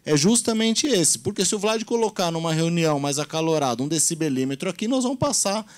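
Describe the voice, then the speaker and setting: A man's voice: on the high side, animated, brisk. A man, earnestly explaining points at a council meeting on a handheld mic. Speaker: meeting participant. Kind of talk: public meeting discussion.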